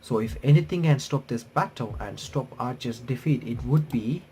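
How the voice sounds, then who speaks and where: A man reading game text aloud and adding casual, halting commentary as a playthrough streamer. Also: medium pitch, expressive, darkish tone, a little hiss or hum. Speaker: YouTuber playing and reacting to a visual novel.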